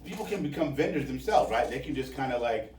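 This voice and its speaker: A man's voice: medium pitch, animated, fast, a little mellow, slight background noise. An American man hosting a casual radio interview, informal and repeating phrases for emphasis. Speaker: radio host. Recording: roomy, echoing, distant microphone.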